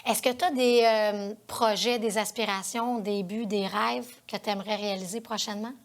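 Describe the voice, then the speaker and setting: A woman's voice: medium pitch, steady tone, moderate pace. A woman with a Quebec French accent interviewing a guest as host of a TV show, in a conversational studio style.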